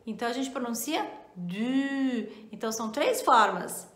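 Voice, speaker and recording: A woman teaching French in an online lesson, patiently repeating each example slowly. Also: on the high side, animated, fairly bright tone, echoey room. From Portugal, raised in Brazil.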